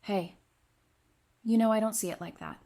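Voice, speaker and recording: A woman's voice: mid-range voice, huge pitch swings, moderate pace, dark tone. A woman playing a frank, loyal and caring friend in an audio drama. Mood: reassuring.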